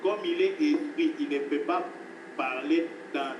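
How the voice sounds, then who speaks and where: A man's voice: a little high, a little slow, muffled recording. A man preaching a sermon with emphasis, repeating his phrases and calling his listeners beloved. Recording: echoey, distant, noisy hall recording.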